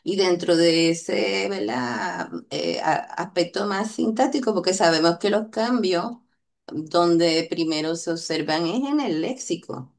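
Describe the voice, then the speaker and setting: Slow, low Puerto Rican woman explaining. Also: lively intonation. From Puerto Rico. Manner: hesitant, frequent "eh" fillers and "¿verdad?" tag questions. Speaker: linguistics researcher, guest. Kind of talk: unscripted academic explanation.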